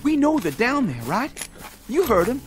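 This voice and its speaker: An actor playing a frightened young Confederate private who whines and pleads nervously in an old radio drama. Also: male voice, very high voice, huge pitch swings, moderate pace, soft background music, full character voice. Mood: pleading. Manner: jittery, whining and pleading. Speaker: private soldier. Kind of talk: 1960s radio drama acting.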